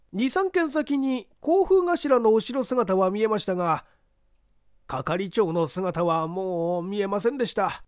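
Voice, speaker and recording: A man's voice: high voice, no echo, clean studio sound, voice pushed up. A man playing a deferential construction supervisor testifying formally and earnestly in a mystery story reading.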